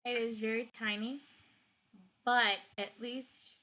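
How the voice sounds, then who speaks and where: Young woman, fairly high voice, chatty, rambling, casual gaming chat. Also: level tone, slow pace, bright, forceful tone. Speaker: streamer.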